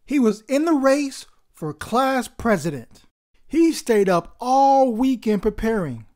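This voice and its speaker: A woman's voice: a little high, very animated, takes it slowly, darkish tone. A woman hosting a story time, reading expressively as the narrator of a children's book.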